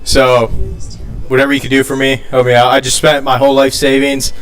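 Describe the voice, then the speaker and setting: A man's voice: medium pitch, monotone, a little fast, clean tone, soft background music. A young man co-hosting a casual live show, talking loosely with many fillers. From Albanian family. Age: young adult. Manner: loose, rambling, lots of ums. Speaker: co-host. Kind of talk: casual chat.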